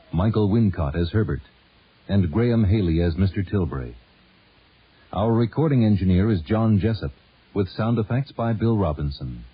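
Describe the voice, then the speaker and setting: A man announcing radio drama credits in a formal, measured, scripted style. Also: on the low side, husky. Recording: muffled old radio broadcast.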